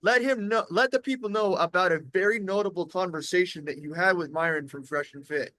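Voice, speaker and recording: Male host, high-pitched and forceful, effusive slangy hype delivery, casual interview, close dry sound. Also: fairly quick, dry recording. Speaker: livestream host.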